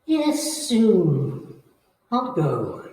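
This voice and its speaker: A woman playing a menacing, bloodthirsty old female vampire in old-time radio horror style, with commanding, drawn-out phrasing. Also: on the low side, huge pitch swings, warm tone, full character voice. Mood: menacing, hungry. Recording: echoey hall, far from the mic.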